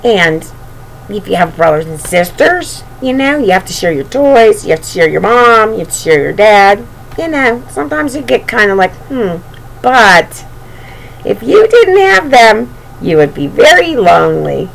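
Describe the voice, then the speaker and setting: A woman's voice: medium pitch, big pitch swings, measured pace, creaky. An older woman reading a storybook aloud and chatting affectionately to young children. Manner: affectionate, talking to small children. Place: small bedroom indoors. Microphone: webcam at arm's length. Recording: noisy home webcam recording.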